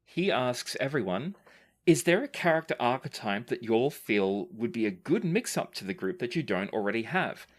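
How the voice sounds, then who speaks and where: A podcast host and game master reading out listener questions in an effusive way, with some casual swearing. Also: mid-range voice. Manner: effusive, thankful, occasional swearing. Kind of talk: podcast question-and-answer hosting.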